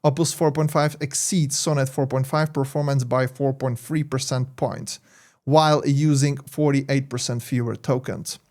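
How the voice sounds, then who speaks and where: A man's voice: medium pitch, fairly even intonation, moderate pace, a little mellow. A man with a Czech accent, a tech YouTuber talking casually over his screen, rambling with many fillers and self-corrections. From Czech Republic.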